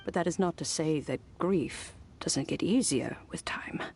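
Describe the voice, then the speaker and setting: A woman playing a kindly old granny in a video game, speaking in a measured, reflective and gentle way. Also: sits low, animated, in a whisper, soft background music. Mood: reflective, tender. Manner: measured, reflective, with soft sighs. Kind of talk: scripted video game dialogue.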